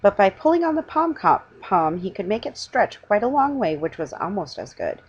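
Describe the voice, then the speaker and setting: Middle-aged female storybook reader, husky tone, very animated pitch, homely amateur delivery. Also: moderate pace, muffled recording. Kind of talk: reading a children's story aloud. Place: room at home, indoors.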